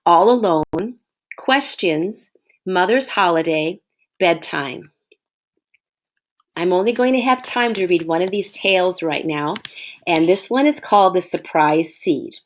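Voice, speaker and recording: A woman's voice: lowish voice, unhurried. A woman schoolteacher reading a children's storybook aloud, expressively, and addressing her young listeners with explanations. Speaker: elementary school teacher.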